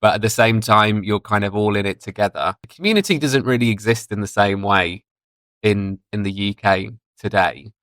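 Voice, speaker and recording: A man's voice: lowish voice, moderate pace, fairly bright tone, no room sound. A man with a British accent, talking as a podcast guest in a reflective, explanatory, conversational way. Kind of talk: casual discussion.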